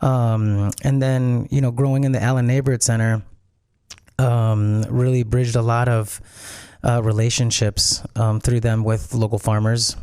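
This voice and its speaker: A man from Peru, a restaurant founder talking casually as an interview guest, pausing often with fillers and restarts. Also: medium pitch, little pitch movement, a little mellow, smooth, dry recording. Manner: hesitant, many fillers and restarts.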